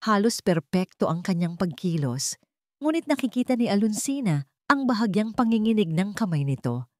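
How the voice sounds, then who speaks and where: A woman's voice: mid-range voice, very animated, normal speed, no echo, clean studio sound. A woman narrating a scripted dramatic story.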